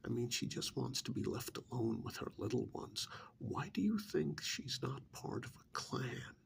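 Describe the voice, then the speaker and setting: A man soft-speaking an ASMR role-play monologue in a breathy, gravelly half-whisper, with deliberate, pointed phrasing and pauses. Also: medium pitch, big pitch swings, slight background noise.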